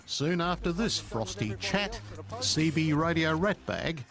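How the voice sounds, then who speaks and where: A man's voice: a little high, very animated, slow pace. An Australian man reading scripted current-affairs narration as a TV news reporter, with a punchy, sensational delivery. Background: music.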